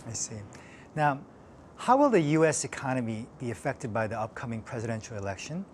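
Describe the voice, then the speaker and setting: A man's voice: mid-range voice, lively intonation, a little fast, a little hiss or hum. A man hosting a TV interview, reading prepared questions in a polite, formal manner. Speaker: TV interview host.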